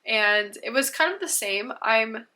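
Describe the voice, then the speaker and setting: A woman's voice: on the high side, lively intonation, measured pace, pushed and bright. A woman in her thirties, congested from a cold, chatting casually to camera as a homeschooling mom, rambling with frequent ums.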